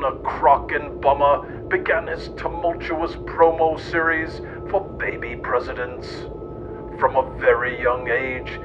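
Guy reading a fake history story all mock-serious, slightly high voice, muffled noisy recording. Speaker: narrator. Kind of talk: scripted mock-history storytelling, read aloud. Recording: dull lo-fi sound with loud rumbling noise.

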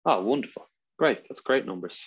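A man's voice: mid-range voice, lively intonation, breathy edge, muffled recording. Chatty Irish man on a video call. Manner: fast-talking, chatty, filler-heavy. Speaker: webinar presenter. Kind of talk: casual webinar chat.

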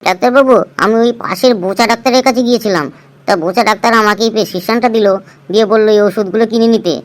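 A woman's voice: a little high, rapid-fire, close to an everyday voice. A woman playing a chatty, naive, cheeky clinic patient in a comic cartoon, wordy and colloquial. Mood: puzzled. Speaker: cartoon voice actor. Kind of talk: comic Bengali cartoon dialogue.

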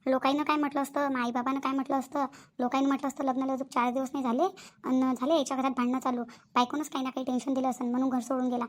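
Anxious village women of a cartoon family drama, acted by a woman, with a high-pitched voice and a Vidarbha Marathi accent. The delivery is quick, emphatic and fretful, circling back over the same words in homely, colloquial speech. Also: level tone, close to an everyday voice. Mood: distressed.

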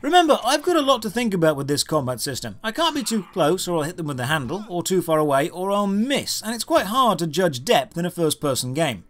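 A man narrating a scripted comedic video game review with sarcastic, exasperated emphasis. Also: sits high. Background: background music.

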